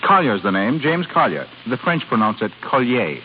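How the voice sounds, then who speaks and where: An actor playing a suave, teasing and ardent suitor in a smooth 1930s radio drama manner. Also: male voice, mid-range voice, big pitch swings, normal speed, close to an everyday voice. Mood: brisk, amused. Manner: smooth, playful, romantic. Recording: muffled, hissy 1930s radio broadcast.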